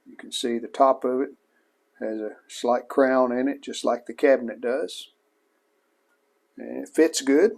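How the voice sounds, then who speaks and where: A man hosts a hobby restoration vlog in a voice with plenty of gravelly fry. His pitch sits in the middle of the male range. He talks through the hands-on work casually and plainly, showing each piece as he goes. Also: unhurried, pauses often, darkish tone. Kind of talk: casual hands-on workshop narration.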